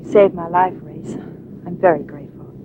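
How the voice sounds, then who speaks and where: An actress playing a scheming widow with a pleading, dramatic manner in a late-1940s radio drama. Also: female voice, on the low side, steady tone, normal speed, lo-fi sound, close to an everyday voice. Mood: grateful, anxious. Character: scheming widow, pleading, indignant, breaking into desperate defiance. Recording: noisy vintage radio recording.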